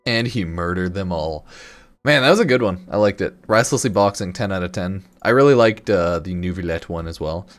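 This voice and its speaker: A man hosting a reaction video, commenting live in casual, excited, slangy exclamations. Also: low-pitched, a little fast. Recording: game music behind the voice. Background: game trailer music and sound.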